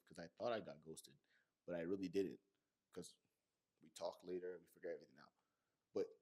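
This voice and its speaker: A young man from Nigeria with a Yoruba accent, telling a personal story to camera in a slangy, animated way with many fillers. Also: deep voice. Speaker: vlogger. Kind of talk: casual monologue to camera.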